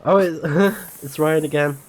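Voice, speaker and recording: A man's voice: on the high side, measured pace, rough recording. A man giving casual, chatty and reactive let's play commentary as a game streamer.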